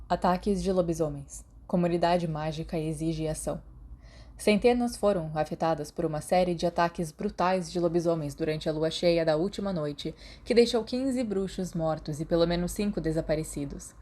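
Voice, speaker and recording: A woman with a Brazilian Portuguese accent narrating a fan fiction audiobook in a steady reading. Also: lowish voice. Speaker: podfic narrator.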